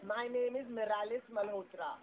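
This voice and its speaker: A puppeteer voicing a vain, theatrical female puppet who talks indignantly to children in a drawn-out way. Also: medium pitch, lightly acted. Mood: haughty. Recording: tinny lo-fi phone video, noisy crowd.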